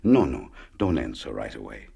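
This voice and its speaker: An actor playing a sad, lonely old widower in a radio play, tender and halting, with a gravelly, husky voice. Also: male voice, a bass voice, very animated, warm tone, heavily acted. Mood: gentle, hesitant.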